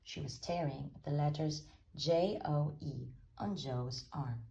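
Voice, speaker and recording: A woman reading a children's story aloud as an expressive narrator. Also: sits low, slow pace, gravelly, highs cut off. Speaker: storybook reader.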